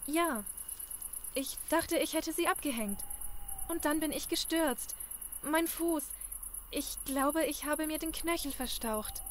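Actress as frightened female fugitive, high voice, pleading and faltering, horror radio-play style. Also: takes it slowly, long pauses, slight background noise. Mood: shaken. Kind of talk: German audio drama acting.

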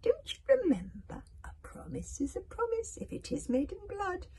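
Woman playing a sly storybook witch, high pitched, breathy half-whisper, purring, mock-sweet, gloating. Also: huge pitch swings, moderate pace, warm tone, full character voice.

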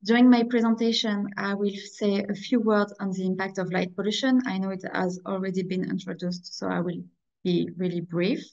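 A young woman with a strong French accent presents a webinar over a video call, speaking carefully and a little haltingly, in dull lo-fi call audio. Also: mid-range voice, fairly even intonation, gravelly, darkish tone. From France. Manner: careful, slightly halting, apologetic.

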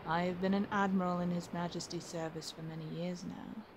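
A woman's voice: fairly low pitch, level tone, brisk, background noise, natural voice. A woman playing an assertive, wry captive pirate admiral in an ASMR roleplay, with a formal, theatrical, teasing delivery. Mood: cool, bargaining.

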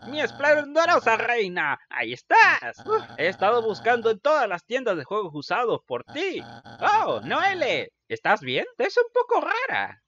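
A woman's voice: a little high, huge pitch swings, normal speed, a bit bright, muffled recording, exaggerated voice. A woman voicing a vain, fawning bird boy, pompous and theatrical, in a fan-dubbed game. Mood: fawning, excited. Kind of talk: fan dub game character acting.

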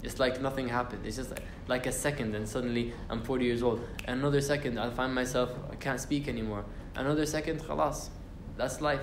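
A man's voice: mid-range voice, fairly even intonation, brisk, few pauses, roomy sound, noisy background. A young man giving an informal class on the Quran, talking casually with fillers and restarts and reciting Arabic verses. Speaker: Quran study teacher. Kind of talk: informal tafsir class talk. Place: university lecture room.

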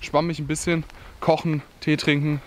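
A man's voice: fairly high pitch, little pitch movement, normal speed, a little hiss or hum. Young male outdoor vlogger, casual and opinionated, talking into a handheld camera in the woods with faint ambience behind. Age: young adult. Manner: chatty and opinionated, many fillers and asides. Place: outdoors in a forest. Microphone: camera held at arm's length.

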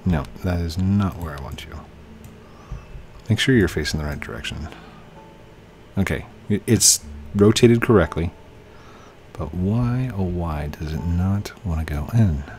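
A man's voice: sits low, lively intonation, measured pace, pauses often, darkish tone, faint music behind. A man in his thirties with a slightly tired voice, casually commenting on a building game he plays, recorded on a headset at home. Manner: chatty, talks to game objects, hums while working. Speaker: gaming channel host. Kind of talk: casual let's play commentary. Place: home room, indoors.

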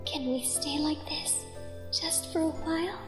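A woman voicing a soft, pleading anime girl. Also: sits high, fairly even intonation, unhurried, music in the background. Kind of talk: anime fan dub.

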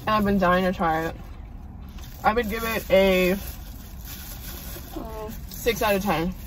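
A woman's voice: medium pitch, slow pace, slight background noise. A young adult woman giving her frank, opinionated take in a casual food review chat from a parked car. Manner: candid, opinionated, laid-back. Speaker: host's daughter, co-reviewer. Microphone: phone camera on the dashboard, a bit distant. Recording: slightly distant phone mic in a car.

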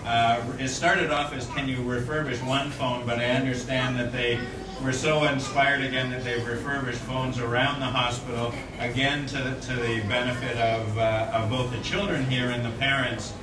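A man's voice: mid-range voice, flat delivery, moderate pace. A man in his forties giving an earnest formal speech at a ceremony, with frequent fillers and restarts, into a stand microphone. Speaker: corporate speaker at a donation ceremony. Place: indoor room at an event. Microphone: stand microphone at a podium. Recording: roomy, distant-miked event audio with noise.

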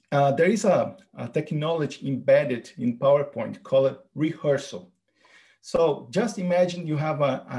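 A man with a fairly high voice gives an earnest tech talk. He explains step by step, pausing on fillers as he goes. He sounds middle-aged and eager to teach. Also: gravelly, a little mellow. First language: Portuguese. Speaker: presenter.

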